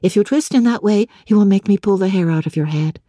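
A woman voicing a devoted young woman, tender, practical and gently teasing, with composed, formal speech in a dramatic audiobook. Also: medium pitch, fast, dry recording, close to an everyday voice. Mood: brisk, teasing. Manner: composed, playful, formal old-fashioned speech. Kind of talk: dramatic literary audiobook reading.

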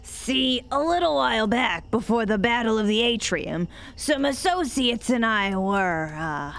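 A woman playing a wry, sharp-tongued bartender who spins a tale with teasing sarcasm in an audio drama. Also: a little high, animated, measured pace, gravelly, full character voice. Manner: sardonic, teasing storyteller.

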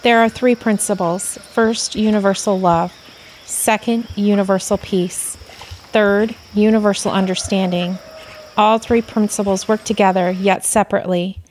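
An actress plays a female alien officer in an audio play, composed and a little superior. She speaks deliberately at a middle pitch. Faint background noise sits behind her. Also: steady tone, darkish tone, close to an everyday voice. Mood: solemn.

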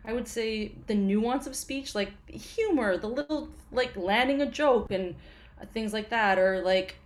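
A woman speaking as a podcast guest in a loose, conversational way. She trails off and restarts her sentences, filling gaps with little fillers as she goes. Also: a little high. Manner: halting, many fillers and restarts. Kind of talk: casual interview chat.

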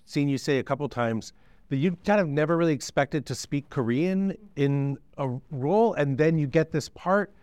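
Male interviewer, somewhat high, smooth voice, dry studio sound, measured questions. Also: a little mellow, no room sound.

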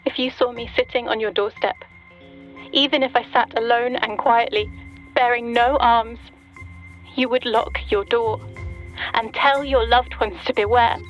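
An actress playing a solemn, eerie, menacing storyteller in a poetic audio drama prologue. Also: female voice, a little high, steady tone, measured pace, lo-fi sound, soft background music, close to an everyday voice.

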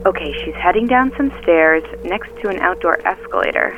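A woman's voice: fairly low pitch, animated, normal speed. An actress playing a casual, watchful woman on a phone call in a naturalistic audio drama, through a phone filter. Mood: tense, watchful. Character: casual, watchful young woman tailing someone while on the phone. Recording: band-limited phone line with music.

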